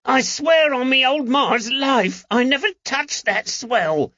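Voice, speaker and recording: A man's voice: extremely high pitch, expressive, takes it slowly, pushed and bright, dry recording, muffled recording, pitched above the performer's own voice, full character voice. A man voicing a snivelling, indignant Cockney moneylender in an audiobook, whining and pleading defensively. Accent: London Cockney. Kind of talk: audiobook character acting.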